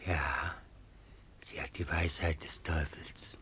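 Deep, husky, brooding raja. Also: male voice, fairly even intonation, slow pace, pushed and bright, in a whisper, exaggerated voice. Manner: terse, weighty. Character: brooding male raja questioning his servant. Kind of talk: German radio drama acting.

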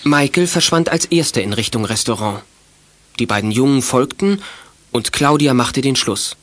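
A man's voice: mid-range voice, lively intonation, normal speed, a bit bright, natural voice. A man narrating a youth radio play in a scripted storytelling style.

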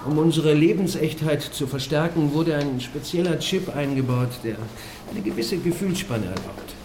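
A man's voice: a little high, moderate pace, a little mellow, a little hiss or hum, close to an everyday voice. An actor playing a polite, lovesick male robot in a radio drama, earnest, deferential and pleading. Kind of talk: German radio drama acting. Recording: music playing behind the voice.

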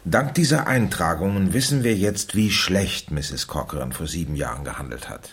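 An actor playing an authoritative, persuasive investigator in a radio crime drama, husky, deliberate and emphatic. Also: male voice, low-pitched, lively intonation, fairly bright tone, full character voice. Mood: kindly probing. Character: authoritative, probing male investigator, measured and persuasive. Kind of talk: 1970s German radio crime drama acting.

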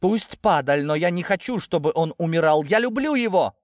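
A man's voice: on the low side, very animated, moderate pace, dry recording, clean studio sound. A man voicing a defiant young adult daughter, hurt and bitter, with an emotional, biting delivery in an audiobook. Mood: hurt, pleading.

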